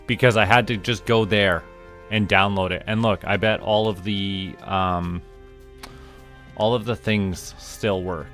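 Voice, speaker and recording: Slow, low-voiced man chatting. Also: bright, forceful tone. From Canada. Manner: rambling, halting, chatty asides to viewers. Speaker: streamer, VTuber host. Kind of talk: casual livestream chat.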